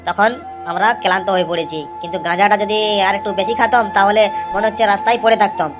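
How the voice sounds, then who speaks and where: Man as a fast-talking, weary cartoon pilgrim. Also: high voice, fairly even intonation, music in the background, natural voice. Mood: weary, chatty. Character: weary young male pilgrim, chatty and devout. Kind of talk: amateur cartoon dialogue, vlog-like.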